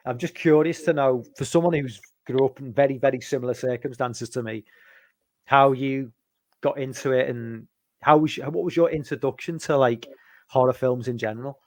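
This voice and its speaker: A man in his forties with a broad Liverpool accent hosts a loose online chat. He rambles, trips over and repeats his words, and speaks at an everyday pitch into a dry, close mic on a call. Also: fairly even intonation, normal speed, darkish tone, no echo. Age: 40s. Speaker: stream host. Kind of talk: casual chat.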